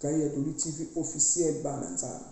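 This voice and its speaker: Dark-voiced woman preaching in an echoey room. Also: low-pitched, steady tone, normal speed, vocal fry. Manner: emphatic, preachy, mixes languages freely. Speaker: priestess preaching online. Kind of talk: spiritual exhortation to followers.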